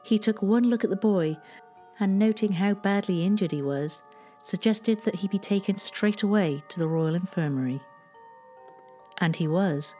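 A woman narrates a scripted account of history. Her voice sits fairly low, with a dark, relaxed tone. Soft music plays faintly underneath.

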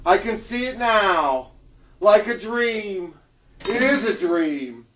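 A woman's voice: mid-range voice, expressive, takes it slowly, slight background noise. A woman playing an earnest, indignant old-school Marxist who holds forth in a declamatory radio play monologue. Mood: dreamy. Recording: distant mic with music underneath.

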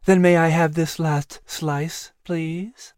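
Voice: mid-range voice, fairly even intonation, slow pace, no room sound, clean studio sound, voice pushed up, lightly acted. A narrator voicing a sweet, impish female lamb spirit. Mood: teasing. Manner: sweet, gently teasing.

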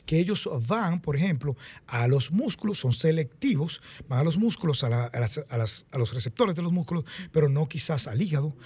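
A man's voice: on the high side, lively intonation, brisk, a little mellow, husky. A man in his late thirties with a Dominican accent, giving emphatic advice as a guest fitness coach in a radio interview. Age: late 30s.

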